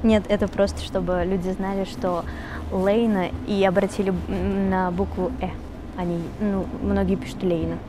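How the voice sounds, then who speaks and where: A young woman singer answering questions in an easy, chatty way, full of little fillers. Her voice sits at an ordinary pitch. Traffic noise hums clearly behind her. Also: normal speed. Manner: relaxed, chatty, switches between Russian and Tatar, many fillers. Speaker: singer being interviewed. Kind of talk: casual interview answers. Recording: noisy background with traffic.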